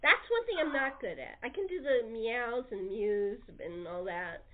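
A woman's voice: on the high side, lively intonation, measured pace, heavy background noise. A woman hosting a casual interview, chatting in a rambling, jokey way over a narrow phone connection. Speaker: podcast host and theater producer. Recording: narrow, tinny phone-line sound.

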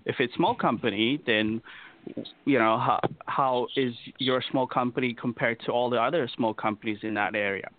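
A man's voice: mid-range voice, moderate pace, dry recording. A man, a company co-founder, answering interview questions, explaining patiently with many fillers. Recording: dry, tinny phone-line audio.

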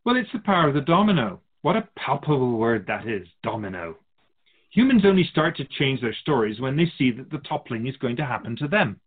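A man's voice: mid-range voice, sing-song, brisk, breathy edge, narrow, tinny audio. A man playing an otherworldly keeper of souls in a radio drama, a wise, teasing mentor who muses theatrically in rhetorical questions. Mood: knowing. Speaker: spirit guide in a radio drama.

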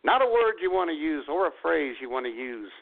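Animated phone-line male radio host. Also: fairly high pitch, fairly quick, gravelly. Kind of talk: casual comic banter. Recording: thin phone-like radio audio.

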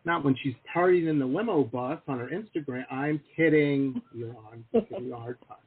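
A man's voice: mid-range voice, fairly quick, husky. A man hosting a podcast interview, chatting casually and teasing his guest with jokes. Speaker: podcast host. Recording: tinny phone-like call audio.

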